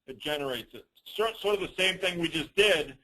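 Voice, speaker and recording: Man, fairly high-pitched, casual training presenter, rambling, teasing, self-deprecating, jokey. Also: lively intonation, moderate pace, sharp tone, poor audio. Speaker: presenter of a business training conference call. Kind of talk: informal software demo, casual and rambling.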